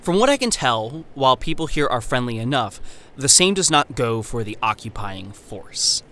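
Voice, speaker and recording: Casting: male actor as wary young 1930s anthropologist, formal and precise, sharp forward tone, horror podcast letters, noisy backdrop. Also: mid-range voice, very animated. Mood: intent, troubled. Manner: formal, precise, measured diction. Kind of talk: scripted horror audio drama, letter narration.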